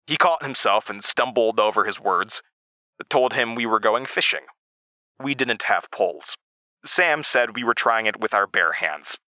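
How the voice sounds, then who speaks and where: An earnest, rueful man telling his own horror story in an audio drama. His voice is crisp-edged and bright, recorded very dry and close, and he talks to the listener in hesitant, confessional asides. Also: lowish voice, expressive, a little slow, no room sound, heavily acted. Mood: reflective, wry. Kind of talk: confessional first-person dramatic narration.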